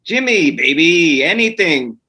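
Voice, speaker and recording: A man's voice: fairly high pitch, very animated, unhurried, bright, forceful tone, heavily acted. A man playing a flippant, overfamiliar showbiz type who jokes around, in a screenplay table read. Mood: breezy, flippant.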